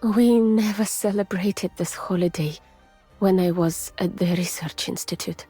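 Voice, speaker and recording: Slow breathy russian lady scientist, gentle and weary, game character, very close and whispery, music behind. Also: mid-range voice. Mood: calm, reflective. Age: adult, mature. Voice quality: soft, breathy, often near a whisper. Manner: measured, formal, restrained. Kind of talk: soft, intimate ASMR-like game voice acting.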